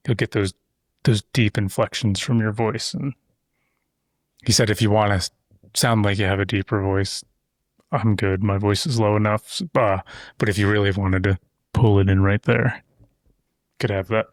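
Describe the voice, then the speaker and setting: A man's voice: on the low side, fairly even intonation, moderate pace, dry recording. A man hosting a podcast in a casual conversation, talking at length with loose asides on a close studio mic. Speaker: podcast host. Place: insulated shop-building studio.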